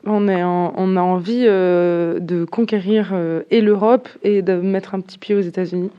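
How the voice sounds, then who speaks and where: A woman's voice: on the low side, fairly even intonation, moderate pace, smooth, highs cut off. A young woman from southern France, a band's singer, answering interview questions shyly and hesitantly as she searches for her words. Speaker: singer of a band. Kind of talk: casual interview.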